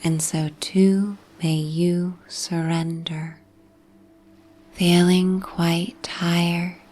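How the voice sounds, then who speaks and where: A woman narrating a bedtime sleep story in a soft, breathy, half-whispered, soothing ASMR manner. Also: lowish voice, little pitch movement, extremely slow.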